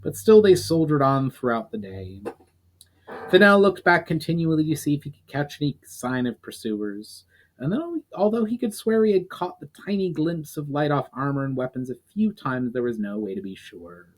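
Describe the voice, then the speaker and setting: A man's voice: fairly high pitch, sing-song, normal speed. Man dramatically reading a fantasy story. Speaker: writer reading own novel aloud. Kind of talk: dramatic audiobook-style narration of a fantasy story.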